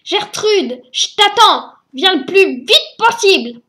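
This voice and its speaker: A woman voicing a rude, sniffling witch who shrieks excitedly into her phone. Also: slow pace, exaggerated voice. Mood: urgent. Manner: shrill, shouting, giggling.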